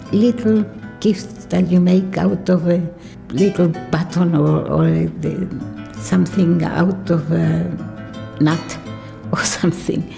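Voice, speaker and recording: Woman, heavy vocal fry, unhurried reflective interview delivery, clear guitar music underneath. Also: lowish voice. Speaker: documentary interviewee.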